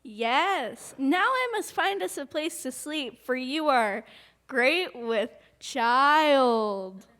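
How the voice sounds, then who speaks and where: Earnest small boy, played by a woman: high voice, halting stiff recitation for live comic stage drama. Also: animated, a bit bright, heavily acted. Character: earnest little schoolboy stiffly reciting pageant lines.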